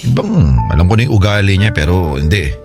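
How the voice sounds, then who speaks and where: A man's voice: deep voice, animated, quick pace, music in the background. An actor with a Filipino accent playing a troubled, hesitant married man in a conversational radio drama style. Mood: troubled, confiding.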